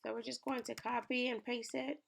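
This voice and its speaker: Raspy, chatty woman with gravelly voice. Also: on the high side, little pitch movement, fairly quick, a bit bright. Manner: chatty, upbeat, addresses viewers directly. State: raspy from early morning. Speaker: small business owner and lifestyle creator. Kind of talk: screen-share tutorial walkthrough.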